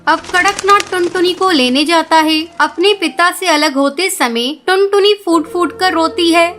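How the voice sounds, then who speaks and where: A woman narrates a cartoon story in a very high, bright voice. Her delivery is steady and clear, the way a storybook is read. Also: unhurried, a little hiss or hum.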